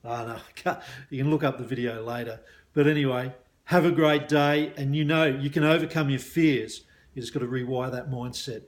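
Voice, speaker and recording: A man's voice: medium pitch, steady tone, moderate pace. Matey Australian man chatting casually to viewers. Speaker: business mindset coach and hypnosis practitioner.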